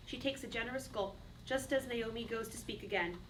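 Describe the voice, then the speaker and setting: A woman's voice: a little high, brisk. A woman reading stage directions as scripted narration for a play. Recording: distant mic, clearly noisy room.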